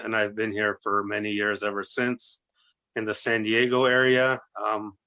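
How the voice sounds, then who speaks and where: Bright, forceful man in his thirties, muffled recording. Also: mid-range voice. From born in Russia, grew up in the United States. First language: Russian. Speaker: interview guest. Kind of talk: conversational personal account. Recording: dull, lo-fi voice recording.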